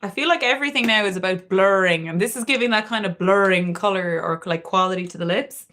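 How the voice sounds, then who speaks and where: A woman's voice: medium pitch, quick pace, pushed and bright. Chatty, sweary woman with a Cork accent. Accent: Cork Irish. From Ireland, Cork. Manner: chatty, candid, swears freely. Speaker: beauty livestreamer. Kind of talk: casual makeup livestream chat.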